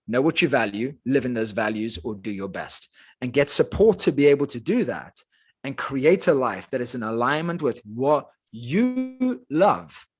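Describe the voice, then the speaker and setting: A man with a South African accent, his first language Afrikaans, speaking as a life coach guest in a podcast interview with energetic, emphatic delivery. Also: medium pitch. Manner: energetic, emphatic motivational talk.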